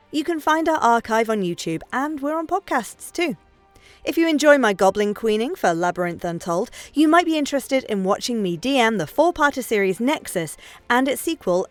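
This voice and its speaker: A woman's voice: fairly high pitch, no echo. A woman giving a scripted promotional announcement in a chummy way, as a game streamer speaking to her listeners. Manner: chummy, addressing listeners as friends. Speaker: game streamer and dungeon master. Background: music.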